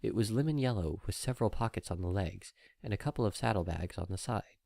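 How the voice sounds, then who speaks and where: A man's voice: fairly low pitch, lively intonation, fast, vocal fry, dark tone, dry recording, studio quality. A man reading a story aloud as an audiobook narrator, in scripted storytelling style.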